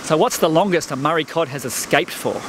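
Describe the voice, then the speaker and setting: Aussie guy with a high voice reading questions off a sheet, outdoors, faint background noise. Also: brisk. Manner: cheerful, reads questions from a sheet. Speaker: interviewer. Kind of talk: reading questions in a kids' farm interview. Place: outdoors beside farm ponds. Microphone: handheld mic.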